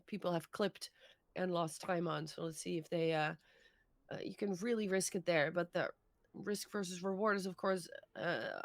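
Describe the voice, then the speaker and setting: A woman's voice: fairly low pitch, vocal fry, fairly bright tone, dry recording. A woman commentating live on a racing game, adding analysis as an esports co-caster.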